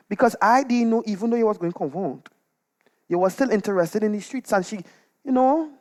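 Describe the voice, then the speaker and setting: A man with a Trinidadian accent performing a comic, gossipy monologue on stage in dialect with animated, dramatic delivery. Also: high voice. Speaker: storytelling performer. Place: live on stage at a performance venue.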